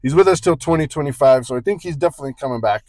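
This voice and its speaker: A man with a fairly high voice chats loosely on a sports podcast, circling back and correcting himself as he goes. The sound is close and dry, with no room behind it. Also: very fast, darkish tone, no echo. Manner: rambling, self-correcting, joking asides. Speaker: podcast co-host. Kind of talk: casual sports podcast chat.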